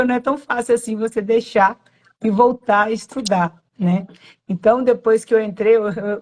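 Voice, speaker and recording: A woman in her late forties with a mild Minas Gerais accent and a slightly high voice tells her own story on a live stream. She talks reflectively and wanders a little, doubling back to correct herself and tagging her phrases with a questioning "né". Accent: Brazilian Portuguese, Minas Gerais (Zona da Mata, mild). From Minas Gerais, Brazil. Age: late 40s. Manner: reflective, meandering, with self-corrections and frequent "né". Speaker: yoga teacher.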